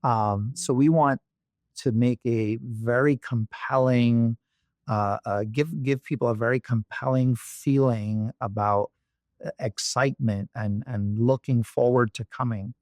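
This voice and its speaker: A man's voice: medium pitch, fairly even intonation, a little slow, a little mellow, no echo, clean studio sound. A man from Barbados, a company executive, speaking as an interview guest in a thoughtful way with many fillers and restarts. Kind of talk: interview conversation.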